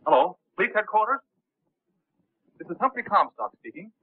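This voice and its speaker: An actor in a 1930s film playing a suspicious young nephew from a wealthy household, speaking in a clipped way. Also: male voice, sits high, sing-song, normal speed, husky, no echo, heavily acted. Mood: controlled, urgent. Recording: tinny, narrow-band 1930s film soundtrack.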